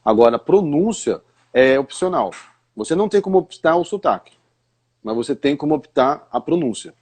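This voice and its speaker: A Brazilian man with a raspy, somewhat high-pitched voice talks through pronunciation in a live chat. He explains patiently and keeps asking rhetorical questions to guide his listener. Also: animated, moderate pace, darkish tone. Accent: Brazilian Portuguese. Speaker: English teacher. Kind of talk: live stream conversation.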